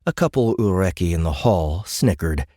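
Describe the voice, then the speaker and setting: A man's voice: low voice, animated, moderate pace, warm tone, no room sound, studio quality. A man narrating fiction as a scripted, dramatic audiobook reading.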